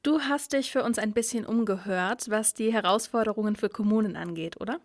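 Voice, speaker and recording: A woman's voice: mid-range voice, a little fast, dry recording, clean studio sound. A woman podcast host welcoming listeners and her colleague in a friendly way. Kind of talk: podcast hosting.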